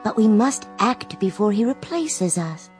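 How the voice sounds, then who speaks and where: A wary female robot servant, voiced by a woman, speaks in slow, deliberate warnings. Her pace is very slow, and faint music plays underneath her in a radio drama. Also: medium pitch, very animated, darkish tone. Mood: grave.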